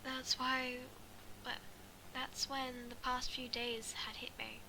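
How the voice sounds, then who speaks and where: A woman reading a first-person story aloud as an amateur, in a halting way with stumbles and repeated phrases. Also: high voice, level tone, noisy background. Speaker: amateur fan fiction reader.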